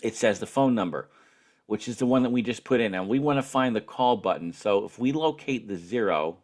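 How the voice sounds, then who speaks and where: Guy with a lowish voice doing a tutorial, walks thru each step carefully, instructor type. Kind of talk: spoken tutorial, explaining step by step.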